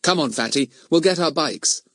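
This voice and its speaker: A man voicing a brisk, practical schoolboy chum, chatty and matter-of-fact, in a children's storybook. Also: a little high, expressive, fairly bright tone, no room sound, studio quality, pitched above the performer's own voice.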